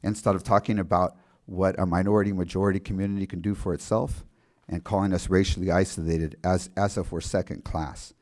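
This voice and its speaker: Man council member, low and mellow voice, earnest, stumbles and restarts often. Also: little pitch movement. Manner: earnest, halting with repeated restarts. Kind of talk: public meeting remarks.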